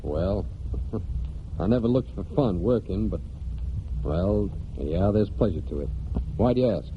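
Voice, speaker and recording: A man's voice: lowish voice, animated, unhurried, background noise. An actor playing a plain-spoken, proud farmhand with folksy rural speech, telling his story in a 1940s radio drama. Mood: warm, earnest. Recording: dull old 1940s radio broadcast.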